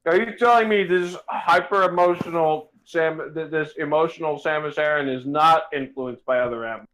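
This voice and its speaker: A man's voice: a little high, moderate pace, a bit bright, poor audio. A man co-commentating casually with dry, sarcastic asides.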